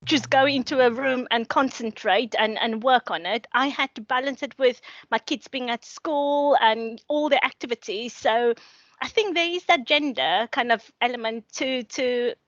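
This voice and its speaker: A woman's voice: a little high, moderate pace, fairly bright tone, dry recording, dull audio. A middle-aged woman with a South African accent, speaking earnestly and reflectively as a panelist, often restarting her sentences. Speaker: panelist, lecturer. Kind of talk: panel discussion on video call.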